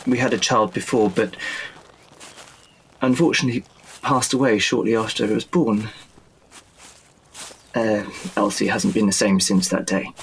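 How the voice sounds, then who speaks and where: A man playing a weary Victorian father who stoically buries his grief in an audio drama, halting and subdued. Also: fairly low pitch, fairly even intonation, vocal fry, slight background noise, low-quality recording. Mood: weary, resigned.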